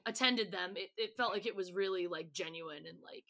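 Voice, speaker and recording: A woman's voice: fairly low pitch, brisk, a crowd in the background. A female podcast guest in casual chat, talking in a chatty, bubbly, rambling way.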